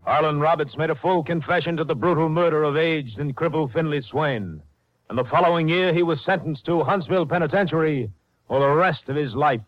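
Male vintage crime-drama narrator: scripted, raised pitch, dull old broadcast sound, very loud music behind. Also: brisk. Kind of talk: 1950s radio scripted narration.

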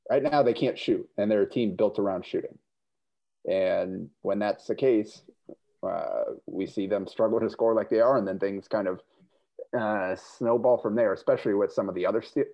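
A man's voice: lowish voice, creaky, muffled recording. A man who writes about basketball, guesting on a podcast and explaining stats analytically, often stammering at the start of sentences. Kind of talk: casual sports podcast chat.

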